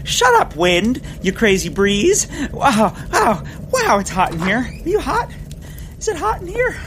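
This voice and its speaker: A clumsy, lovestruck male naturalist in a comedic audio drama. He stammers through florid phrases, and his excitable voice leaps wildly up and down. There is clear background noise and ambience around him. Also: very high-pitched, measured pace, exaggerated voice. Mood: flustered, denying. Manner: stammering, florid, swinging into squeals.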